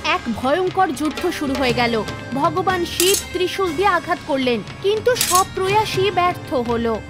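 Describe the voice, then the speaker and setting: Female narrator for animated mythology tales; high-pitched, scripted storytelling delivery with clear background music. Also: moderate pace.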